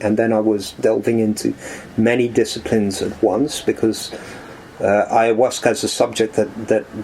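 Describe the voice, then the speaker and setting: A man talking as a podcast guest in a living room, reflective and halting, with frequent restarts as he thinks aloud. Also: medium pitch, background noise. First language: English. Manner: thoughtful, halting, many restarts. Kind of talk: reflective conversational interview.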